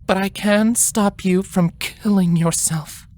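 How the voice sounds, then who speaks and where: A man voicing a caring but stern older sister, a pony princess, speaking softly and earnestly in a fan fiction story. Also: high voice, fairly even intonation, pitched above the performer's own voice. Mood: kind, firm, sad. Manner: soft-spoken, deliberate, earnest. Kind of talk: fan fiction character reading.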